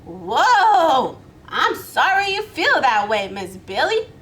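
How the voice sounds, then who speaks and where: Woman playing a high-voiced earnest cowboy critter. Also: big pitch swings, slow pace, a bit bright, exaggerated voice. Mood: hurt. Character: hungry, hopeful dancing cowboy critter, male. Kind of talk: children's storybook read-aloud.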